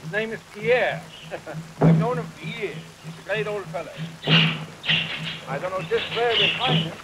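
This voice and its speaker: Fatherly man on noisy old film. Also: high voice. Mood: genial, coaxing. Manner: coaxing, halting, repeats himself. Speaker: film actor. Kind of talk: 1930s horror film acting. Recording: noisy 1930s film soundtrack under rain. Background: rain storm.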